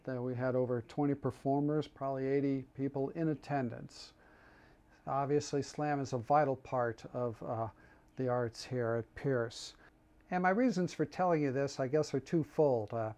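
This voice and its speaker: A man speaking as a college English instructor, giving an informal promotional talk to camera in a halting, wordy, deliberate way. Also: on the high side, darkish tone.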